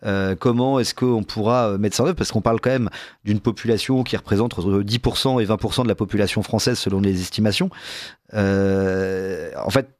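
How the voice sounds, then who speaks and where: Guy moderating a talk, lowish voice, lots of halting, dry recording. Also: steady tone, a little mellow, dry recording. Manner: halting, searching for words, measured. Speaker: discussion host. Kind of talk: moderating a panel discussion.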